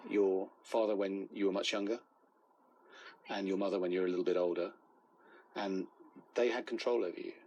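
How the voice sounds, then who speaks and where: A man's voice: sits low, steady tone, a little fast, long pauses, darkish tone, breathy edge, lo-fi sound. A man working as a news correspondent, talking in an unscripted interview and analysis style.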